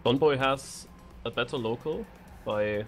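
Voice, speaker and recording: A man's voice: mid-range voice, a little slow, faint music behind. A man giving conversational live commentary on a racing game as a co-caster. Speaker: esports co-commentator.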